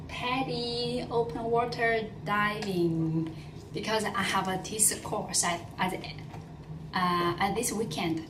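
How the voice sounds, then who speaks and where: A woman's voice: medium pitch, far from the mic. Chinese lady teaching pronunciation, lots of uh and repeats, noisy roomy sound. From China. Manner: explanatory, with many fillers and repeated phrases. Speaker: language teacher. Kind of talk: casual pronunciation lesson. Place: in Dubai.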